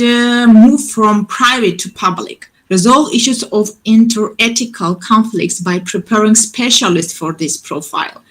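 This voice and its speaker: A woman's voice: medium pitch, low-quality recording. Hesitant woman giving a conference talk. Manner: halting, with frequent restarts and fillers. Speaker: conference speaker.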